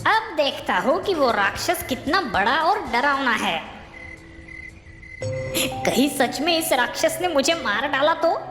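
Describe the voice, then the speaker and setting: A woman's voice: sits high, very animated, unhurried, music in the background. A woman voicing a boastful but cowardly, gullible male ghost in a cartoon, stammering and drawling when rattled. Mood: boastful, nervous. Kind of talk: exaggerated Hindi cartoon acting.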